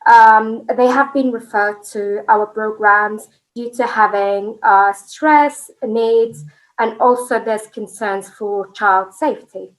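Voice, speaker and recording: A woman's voice: fairly high pitch, slow pace, rough recording. A woman from Iraq whose first language is Arabic, a family support manager introducing herself in a webinar, speaking with hesitant fillers.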